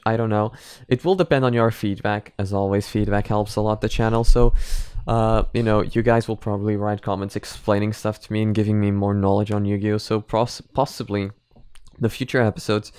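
A man's voice: on the low side, darkish tone, smooth, no room sound. A man in his twenties chatting casually as a reaction channel host, repeating himself for emphasis, close to a microphone at home. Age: 20s. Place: small home room, indoors. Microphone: microphone on boom arm, close.

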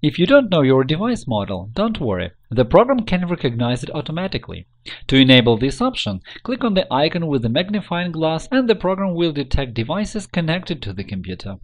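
A man reading a scripted software tutorial in an instructional, step-by-step manner. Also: on the high side, sing-song, a little fast.

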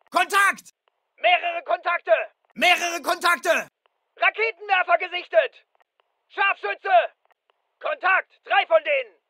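An alert video game soldier giving clipped, urgent battlefield callouts. His voice is very high-pitched for a man and hard-edged, with a commanding delivery. The sound is dry and close. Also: sharp tone, no echo, exaggerated voice. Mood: alarmed, urgent. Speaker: voice actor in a dubbed military shooter. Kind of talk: military combat callouts, short barked lines.

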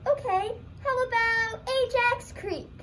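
A woman's voice: extremely high pitch, takes it slowly, bright, forceful tone, full character voice. A young female student voicing a puppet character in a scripted comic puppet show. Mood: puzzled, exasperated. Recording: faint background noise.

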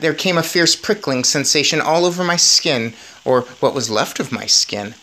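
A man's voice: a little high, sharp tone. A man in his thirties reading a children's novel aloud with expression. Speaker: story reader.

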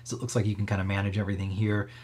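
A man's voice: lowish voice, flat delivery, rapid-fire, darkish tone. A man in his thirties hosting a live stream, talking through a casual tech demo in an easygoing, rambling way, thinking aloud. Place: small cluttered indoor room.

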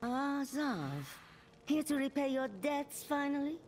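A woman's voice: sits high, lively intonation, takes it slowly, a little mellow, slight background noise. An actress playing a shrewd, playful female desert merchant in a video game, needling her customer. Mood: teasing. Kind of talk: video game character dialogue.

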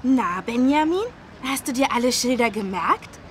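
A woman voicing a bright, friendly young boy who eagerly explains things in a children's cartoon game. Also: fairly high pitch, animated, moderate pace, natural voice. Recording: faint street noise behind the voice.

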